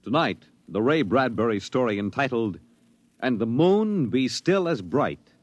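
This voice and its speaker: A man announcing a 1950s radio drama with booming, dramatic delivery. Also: very animated, measured pace, dry recording. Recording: dull old radio recording with loud music.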